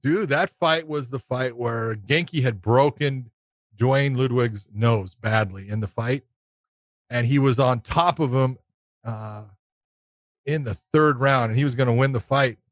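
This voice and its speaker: A man's voice: mid-range voice, a little slow, dry recording. Emphatic man on a dry podcast mic. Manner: emphatic, repeats points for stress. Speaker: podcast co-host, former referee. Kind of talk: casual sports podcast chat.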